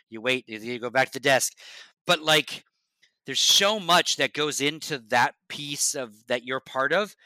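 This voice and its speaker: A man talking shop in a casual podcast chat as a guest from esports broadcasting. He is blunt and emphatic, swears, and often sinks to a low, quiet voice. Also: dry recording, low-quality recording.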